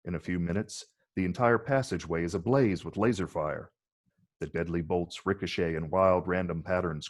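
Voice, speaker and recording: A man narrating stage directions from a film script in a dramatic table read. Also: deep voice.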